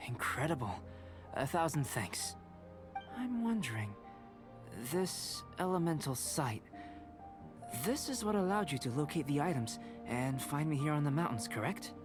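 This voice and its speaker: Calm, methodical young alchemist. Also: male voice, on the high side. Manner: measured, courteous, analytical. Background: game music.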